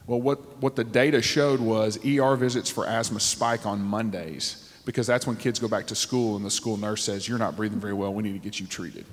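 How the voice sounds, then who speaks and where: Low-ish guy on a stage panel, lots of ums, handheld mic, big echoey theater. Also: steady tone. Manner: measured, with frequent um and uh fillers. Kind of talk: panel discussion. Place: large theater stage with audience. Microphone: handheld microphone. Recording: long hall reverb from a theater.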